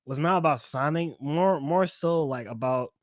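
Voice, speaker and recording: A man's voice: a little high, moderate pace, dry recording, clean studio sound. A man co-hosting a podcast, chatting casually in slang and often saying bro. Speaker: podcast co-host. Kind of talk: casual movie discussion chat.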